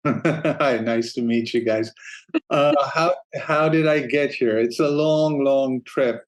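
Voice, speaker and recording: A man from Denmark, around his forties, a guest in an interview, telling his career story in a relaxed, easygoing way with frequent restarts and easy laughter. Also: mid-range voice, slow. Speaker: guest, streaming operations manager. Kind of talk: casual interview talk.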